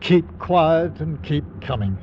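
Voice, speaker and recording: A man's voice: a little high, animated, slow. A man playing a weary, commanding ship's captain in 1940s radio drama, authoritative and given to clipped orders. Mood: weary, fatherly. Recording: muffled, noisy old radio recording.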